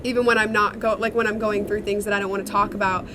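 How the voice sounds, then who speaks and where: A woman's voice: medium pitch, flat delivery, fast talker, background noise. A young woman, a singer-songwriter, answering interview questions in a chatty, reflective way with many fillers. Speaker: songwriter and singer in a sister duo.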